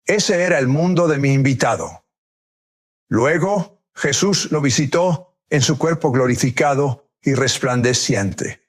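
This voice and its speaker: A man hosting an interview show, introducing his guest in a scripted, dramatic way. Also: medium pitch. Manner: slow, dramatic delivery. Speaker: host.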